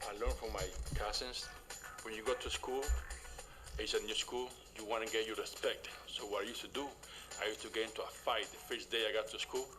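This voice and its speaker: A man's voice: on the low side, fairly even intonation, brisk, poor audio. A man from Cuba with a Cuban Spanish accent, recounting his past in a documentary interview in plain, simple sentences. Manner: plain, simple sentences with non-native grammar. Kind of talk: personal recollection in an interview. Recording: interview with music bed behind.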